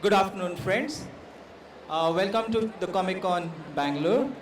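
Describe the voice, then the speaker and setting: A man with an Indian accent and a fairly high voice hosts a quiz for a live audience. He sounds distant from the microphone, in a very echoey space. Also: normal speed. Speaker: art director and quiz co-host. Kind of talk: informal live event address to an audience. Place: comic convention event. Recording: echoey, noisy event venue, distant pickup.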